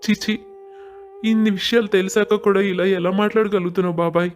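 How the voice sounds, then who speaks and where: A wronged, emotional young husband played by a man speaks in an audio family drama. His voice sits high for a man, with little melodic movement. Music runs softly underneath. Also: fairly even intonation, fast talker, natural voice. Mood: bitter anger. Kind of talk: scripted audio story dialogue.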